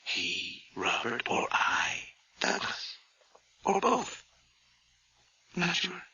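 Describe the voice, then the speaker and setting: Male taunting ghostly twin for radio horror: sharp, piercing, theatrical, swooping delivery, echoing voice. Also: high voice, wildly swooping pitch, full character voice. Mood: taunting, menacing. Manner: mocking, theatrical, deliberate, breaking into whispers and chuckles. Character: sinister, taunting dead twin possessing his brother. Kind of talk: radio horror drama acting. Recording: echoey, distant, muffled hissy old radio recording.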